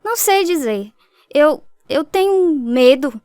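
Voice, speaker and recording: Scared sweet little brazilian girl, high pitched, hesitant, radio play acting. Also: animated, slow, a little mellow, no echo, studio quality. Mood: uneasy, hesitant. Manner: hesitant, fearful. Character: anxious, tender young girl, about ten to thirteen.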